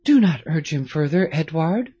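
A man voicing a gentle aristocratic French mother in an audiobook, speaking softly and tenderly. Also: on the high side, animated, moderate pace, husky, highs cut off, pitched above the performer's own voice. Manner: soft, tender.

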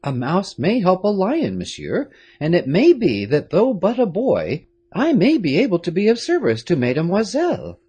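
A polite young Englishman refuses to back down, as a man reads him. He speaks quietly but firmly, his husky voice pitched up and lively. It is a storybook figure. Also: normal speed, few pauses, exaggerated voice. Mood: resolute. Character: brave, thoughtful English youth, polite but resolute.

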